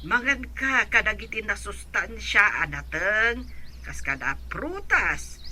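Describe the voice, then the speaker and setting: Doting aunt with piercingly bright voice. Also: female voice, medium pitch, very animated, takes it slowly, slight background noise, full character voice. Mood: caring, advising. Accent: Ilocano. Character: kindly doting aunt, advising. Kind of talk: Ilocano radio drama acting.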